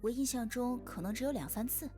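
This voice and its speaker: Woman doing a cute shy girl in a visual novel, earnest, music clearly behind her. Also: on the high side, quick pace, natural voice. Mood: modest. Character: shy, cute girl who relays a statue's messages. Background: game music.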